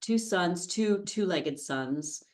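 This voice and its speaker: A woman's voice: on the low side, expressive, slow pace, darkish tone. An American woman in her fifties chatting as a podcast guest, telling anecdotes in a self-deprecating way. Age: 50s. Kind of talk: casual anecdotal interview conversation. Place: podcast studio.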